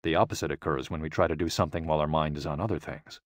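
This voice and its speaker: A man reading a scripted audiobook in a measured, clearly phrased, explanatory manner. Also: rumbling low, fairly even intonation, no echo, clean studio sound. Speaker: audiobook narrator.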